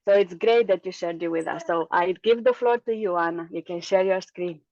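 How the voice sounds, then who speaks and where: A woman's voice: lowish voice, fairly quick, a little mellow, no echo. Female session host, informal introductions, close dry sound, slightly lo-fi video-call audio.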